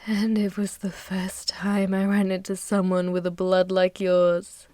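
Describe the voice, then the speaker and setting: A woman playing an ancient, possessive vampire mistress in an intimate ASMR roleplay, speaking softly and teasingly with frequent drops into a whisper, close to the microphone. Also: mid-range voice, monotone, no echo, natural voice. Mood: wistful, sinister. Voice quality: soft, breathy, often dropping to whispers. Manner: slow, teasing, haughty, commanding. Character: ancient, possessive, ruthless yet seductive female vampire mistress. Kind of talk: intimate ASMR audio roleplay, monologue to listener.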